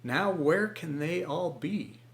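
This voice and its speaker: A man doing a gruff grumbling rooster. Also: a little high, expressive, takes it slowly, pitched above the performer's own voice. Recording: echoey room.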